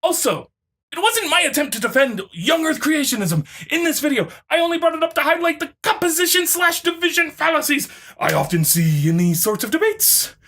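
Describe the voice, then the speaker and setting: A woman's voice: sits high, sing-song, fairly quick, a bit bright, pitched above the performer's own voice, exaggerated voice. A woman doing a mocking dramatic reading as an indignant, smug online commenter, overwrought and spelling out punctuation. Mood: smug, challenging. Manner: overwrought, reads punctuation aloud.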